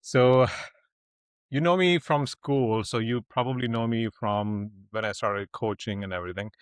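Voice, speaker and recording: A man's voice: medium pitch, measured pace, clear, resonant tone, no echo, studio quality. A man in his thirties from India with an Indian accent, a public speaking coach talking as a podcast guest in a blunt, rambling way with plenty of fillers. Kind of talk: casual interview storytelling.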